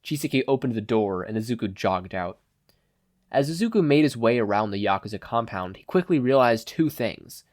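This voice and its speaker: A man's voice: medium pitch, animated, normal speed, darkish tone, no room sound, studio quality. A man giving a scripted narration of a fan fiction story as an audiobook reader. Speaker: podfic narrator.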